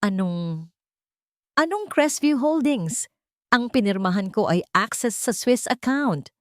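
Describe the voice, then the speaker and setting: A woman's voice: fairly high pitch, expressive, slow, no room sound, clean studio sound, pitched above the performer's own voice. A woman voicing an arrogant, greedy husband in an audiobook drama, cornered and desperate. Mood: stunned. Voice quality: hoarse, cracking when pleading. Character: greedy abusive husband, shouting then desperately pleading, cornered.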